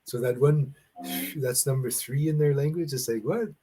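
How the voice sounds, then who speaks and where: Canadian man, husky, loose rambling talker for a casual online language chat. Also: mid-range voice, moderate pace. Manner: rambling, restarts and laughs, animated storytelling. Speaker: conversation partner. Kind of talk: casual conversation practice. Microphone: video call.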